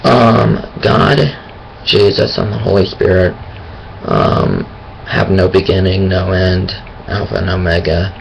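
A man talking about his faith in a hesitant, thinking-aloud way, with a raspy voice and many pauses and restarts. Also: low voice, slight background noise, low-quality recording. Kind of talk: casual monologue on faith.

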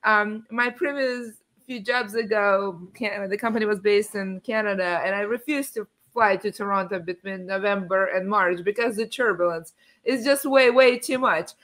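Bright-voiced enthusiastic woman host on a video call. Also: medium pitch, moderate pace, poor audio. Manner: enthusiastic, effusive. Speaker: podcast host. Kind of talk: casual video-call interview. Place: home room with windows, indoors.

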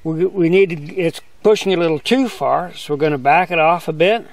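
An older man giving a relaxed, step-by-step outdoor demonstration as a sawmill instructor, talking in a plain, easygoing way. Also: fairly high pitch, brisk, a little hiss or hum. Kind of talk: hands-on demonstration. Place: outdoors on a grassy lawn.

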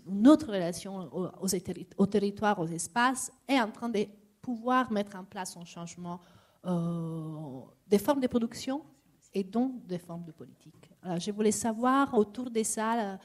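A woman from Italy speaks with an Italian accent in a medium-pitched voice. She is asking a long question from the audience at an academic panel, and she often repeats words while searching for the next one. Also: a little slow. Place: lecture hall at a public panel.

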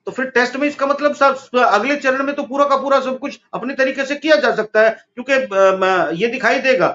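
A middle-aged man arguing politics on a video call from an office, forceful and rising to emphatic peaks. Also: very high voice, moderate pace. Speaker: political commentator. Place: office room, indoors. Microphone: video call webcam. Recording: dull lo-fi call audio with faint noise.

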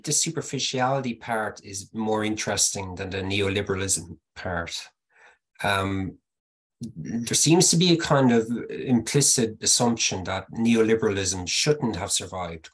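A man's voice: on the low side, a little slow, fairly bright tone, slightly rough. A man in his thirties giving academic feedback on a video call, hedging with many qualifiers, from a plain room through a laptop mic. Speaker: discussant.

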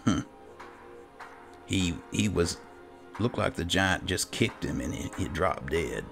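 Super deep voiced guy doing slow gravelly game roleplay commentary, music behind. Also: animated, a bit bright. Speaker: gaming channel host.

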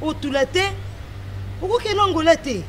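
A woman's voice: high-pitched, expressive, normal speed, a little hiss or hum. An actress playing an outspoken, scheming young woman advising her friend in filmed theatre dialogue, with a Congolese accent. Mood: persuasive, scheming. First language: Lingala. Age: young adult. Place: outdoors in a walled garden.

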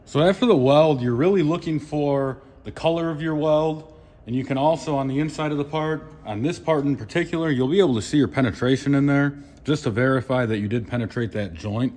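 A man's voice: mid-range voice, fairly quick, darkish tone. A welder in a workshop, a man giving plain, practical explanations of his settings and technique. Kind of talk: instructional shop talk. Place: indoor welding workshop.

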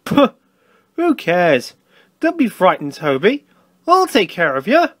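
A man's voice: high-pitched, wildly swooping pitch, slow pace, no room sound, clean studio sound, heavily acted. A man voicing a fussy, boastful storybook steam engine who blusters impatiently and gets easily flustered.

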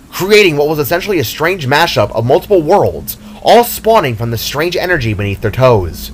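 A man's voice: mid-range voice, a little hiss or hum. A man reading his fantasy story aloud as a dramatic scripted narration. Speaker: author narrating own story.

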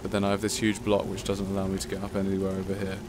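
A man's voice: low voice, completely flat delivery, racing, noisy background. A man casually commentating his gameplay, chatty and self-mocking, swearing at himself when stuck. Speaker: let's play commentator. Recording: game music playing behind.

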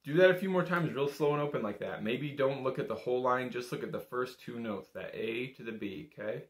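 A man's voice: medium pitch, fairly quick. A young man giving a casual, patient, step-by-step music lesson in an informal, encouraging way. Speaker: bagpipe teacher. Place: small indoor room. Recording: echoey small room.

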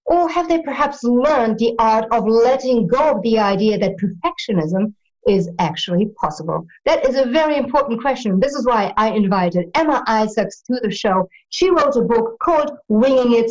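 A woman's voice: fairly high pitch, animated, normal speed, darkish tone, muffled recording. An older woman hosting an interview show, opening with theatrical rhetorical questions, in a studio with a plain backdrop. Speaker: host.

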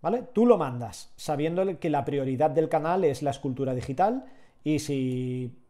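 A man's voice: on the high side, moderate pace. A man, chatting with viewers while teaching 3D sculpting live from a small home room. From Catalonia, Spain. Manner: relaxed, chatty, answers chat while working. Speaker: 3D sculpting instructor.

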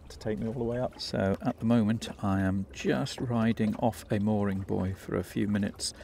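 Easygoing older man with a low voice, filming aboard a small boat. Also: fairly even intonation, moderate pace, mellow. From England. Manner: easygoing, self-mocking, chatty with fillers. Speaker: sailing vlogger. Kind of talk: casual vlog narration. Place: small open sailing boat on an estuary, outdoors. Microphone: action camera mounted on the boat. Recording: clear wind and water noise.

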